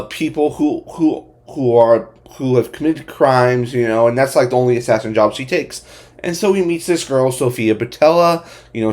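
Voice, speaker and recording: Man giving a halting, casual review. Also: mid-range voice, a little mellow. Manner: halting, repetitive speech full of hedging verbal tics. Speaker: amateur film reviewer and host. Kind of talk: casual unscripted movie review.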